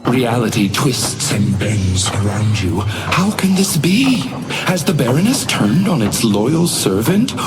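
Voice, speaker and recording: A man voicing an uncanny, suavely menacing hotel doorman in a video game, theatrical and unctuously polite, with insinuating courtesy. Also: mid-range voice. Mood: ominous. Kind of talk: scripted video game character lines. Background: music.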